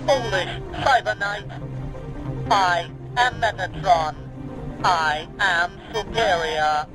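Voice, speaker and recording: A high-pitched, menacing machine villain in an audio drama, with loud music behind him. He declaims with pompous superiority, boasting of his own greatness. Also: slow pace, rough recording. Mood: haughty.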